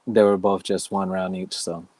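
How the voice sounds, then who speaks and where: A man's voice: deep voice, level tone, normal speed, background noise. A man in his thirties, a guest fighter in a casual interview, easygoing and polite with lots of "yes, sir". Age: 30s.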